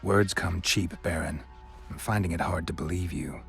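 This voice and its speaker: Super deep gravelly monster hunter in a game, deadpan, barely says much, tired of it all. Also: male voice, normal speed, full character voice. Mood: challenging. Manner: deadpan, terse, guarded. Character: laconic, dry, world-weary male monster hunter. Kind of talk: video game cutscene voice acting. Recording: music behind the voice.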